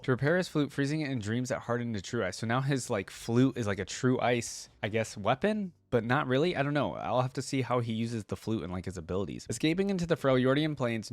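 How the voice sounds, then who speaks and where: Guy doing a reaction vid, reads lore out loud n chats casually, normal pitch, dry close mic. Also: no echo. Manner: expressive reading, casual slangy asides. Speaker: reaction video host. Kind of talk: reading a story aloud with casual commentary.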